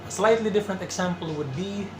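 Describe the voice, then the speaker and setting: A man's voice: high-pitched, quick pace. A young man from the Philippines whose first language is Waray-Waray, a singer-songwriter speaking in a reflective casual way about songwriting. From Eastern Visayas, Philippines. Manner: reflective, explains with sung examples. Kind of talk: casual talk. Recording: echoey room with guitar music.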